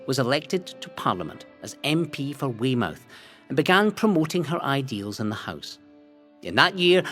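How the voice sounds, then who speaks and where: A man narrating a scripted historical documentary in a measured, formal way with dramatic phrasing. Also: a little high, a bit bright. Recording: music behind the narration. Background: music.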